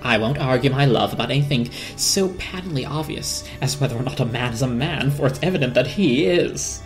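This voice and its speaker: A woman's voice: extremely low pitch, animated, fairly quick, music in the background. A woman voicing a suspicious, pompous male squirrel in a storybook, with a stuffy, complacent manner. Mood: complacent, insistent. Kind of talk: dramatic children's storybook reading.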